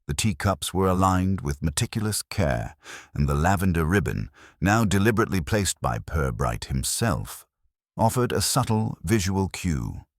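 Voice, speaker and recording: A man narrating a scripted mystery story in a measured, formal storytelling manner. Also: low-pitched, very animated, dry recording, clean studio sound. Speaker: audiobook narrator.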